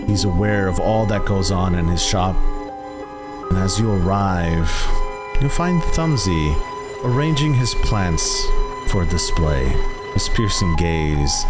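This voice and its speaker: A man narrating a tabletop game as game master, setting scenes cinematically with deliberate, dramatic phrasing. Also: sits low. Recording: loud music behind the voice.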